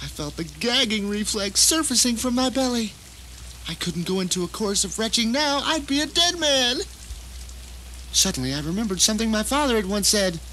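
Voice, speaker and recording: A man's voice: sits high, big pitch swings, moderate pace, sharp tone, a little hiss or hum, full character voice, low-quality recording. An actor playing a bitter, deluded blind man who tells his story in a theatrical, self-righteous audio drama monologue. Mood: frantic, horrified.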